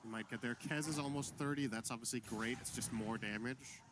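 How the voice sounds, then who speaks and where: A man's voice: medium pitch, fairly quick, noisy background. A man giving analytical color commentary on an esports match, explaining item choices.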